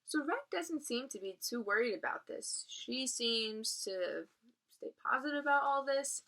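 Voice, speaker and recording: A woman reading a children's novel aloud and pausing to explain it in a casual way. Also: fairly high pitch, expressive, vocal fry, a bit bright. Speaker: reader of a children's novel read aloud, explaining as a teacher would. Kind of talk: read-aloud narration with casual explanatory asides.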